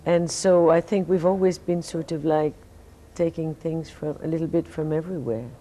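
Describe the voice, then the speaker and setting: A Belgian woman with a French accent speaks in a low, mellow voice, her answers halting and full of restarts. She sounds sleepy, as though fighting off tiredness, and a faint hiss sits under the recording. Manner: relaxed, halting, many restarts and fillers. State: sleepy, fighting off jet lag. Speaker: singer, interview guest. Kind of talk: casual interview answers.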